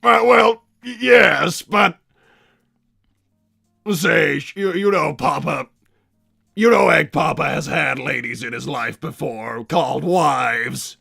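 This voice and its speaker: A man playing a pompous, irritable cartoon villain scientist and doting father, raspy and theatrical, bantering in character on a livestream. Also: on the high side, takes it slowly, bright, forceful tone, faint music behind, full character voice. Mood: flustered, theatrical. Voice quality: raspy, gravelly. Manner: theatrical, blustering, stammers when flustered. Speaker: livestream host reading donations.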